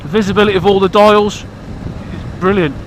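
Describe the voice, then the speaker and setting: A man's voice: high-pitched, fairly even intonation, normal speed, slight background noise. Bunged-up British man chatting about his bike. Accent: British English. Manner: blunt, cheeky, rambling. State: congested from hay fever. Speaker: motorcycle vlogger. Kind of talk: casual chat to camera. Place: outdoors by his motorbike.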